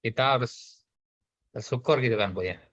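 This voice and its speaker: A man's voice: mid-range voice, moderate pace, fairly bright tone. Javanese-accented guy coaching, rambly and chatty, kinda dull muffled recording. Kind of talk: coaching conversation.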